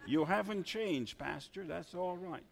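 Male preacher from Trinidad, high lively voice, dramatic shouts and hushed asides, church reverb. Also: measured pace, vocal fry. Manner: dramatic, swings from quiet asides to shouted emphasis. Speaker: pastor. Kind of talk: sermon.

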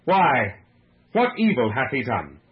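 A man voicing a troubled Roman governor who appeals earnestly and loudly to a crowd in a religious story. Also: mid-range voice, big pitch swings, slow pace, dull audio. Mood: protesting. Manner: earnest, loud public oratory. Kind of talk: dramatized religious story reading.

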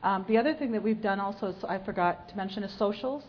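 A woman's voice: mid-range voice, fast talker, dull audio, slight background noise. A woman speaking on a church panel in an upbeat, conversational way. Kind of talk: panel discussion remarks.

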